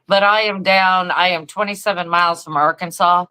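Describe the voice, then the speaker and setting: A woman's voice: on the low side, fairly even intonation, moderate pace, pushed and bright, smooth. A woman with a mixed Midwestern and Southern American accent, chatting casually as a talk show co-host. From Michigan and Missouri, USA. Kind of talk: casual chat.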